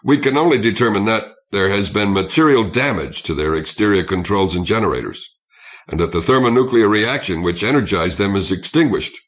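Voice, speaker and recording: A man's voice: lowish voice, expressive, a little fast, close to an everyday voice. A man reading an audiobook, voicing a proud starship captain who speaks stiffly and formally about technical matters. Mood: tired, resigned. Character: proud, contemptuous Russian starship captain, desperate underneath. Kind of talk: audiobook character acting.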